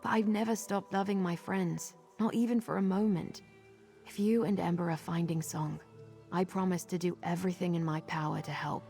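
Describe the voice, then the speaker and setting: A guilt-laden vampire woman from a fantasy game. Her voice is lowish and full of gravelly creak, guarded and subdued in delivery, with faint music behind it. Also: steady tone, normal speed, mellow. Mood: wry, devoted. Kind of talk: video game character dialogue.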